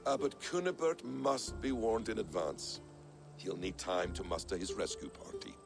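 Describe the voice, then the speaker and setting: A man playing a grave, solitary member of a secret creed in a video game, speaking in a measured, solemn way. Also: lowish voice, creaky. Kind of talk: video game dramatic acting. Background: music.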